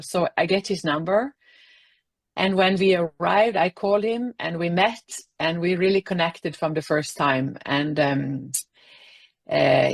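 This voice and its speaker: A woman from Norway with a Norwegian accent, a leadership development worker, giving a steady interview testimony. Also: fairly low pitch, dry recording.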